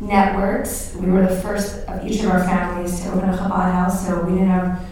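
A woman recounts her experiences in a live interview. She speaks in a measured way, pausing often with "um.". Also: lowish voice, little pitch movement, darkish tone, a little hiss or hum. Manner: measured, with pauses and fillers. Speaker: co-host and Chabad emissary. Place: live recording before an audience. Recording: echoey room from far off.

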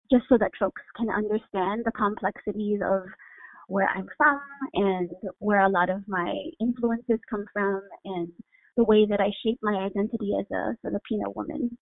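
Measured woman on a tinny dry line. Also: mid-range voice, no room sound. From Hawaii. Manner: thoughtful, measured explanations. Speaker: guest. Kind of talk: reflective interview answer. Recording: phone-like dry sound.

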